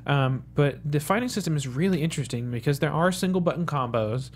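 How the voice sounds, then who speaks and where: A man's voice: on the high side, lively intonation, fairly quick, a little hiss or hum. A man co-hosting a casual panel chat about gaming, explaining things in detail with plenty of jargon. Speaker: co-host on a gaming talk show.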